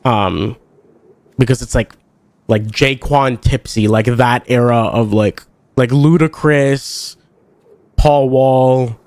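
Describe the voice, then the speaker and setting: A man's voice: medium pitch, slow pace, a little mellow, no echo. A young man streaming a card game, chatting casually in a rambling way full of fillers, and stuffed up with congestion. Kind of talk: casual chat while playing a card game.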